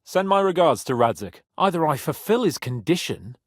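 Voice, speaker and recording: A man's voice: on the high side, very animated, normal speed, no echo, studio quality. An actor playing a brash, haughty young medieval nobleman in a video game, cocky and sardonic in his delivery. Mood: earnest. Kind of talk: dramatic video game voice acting.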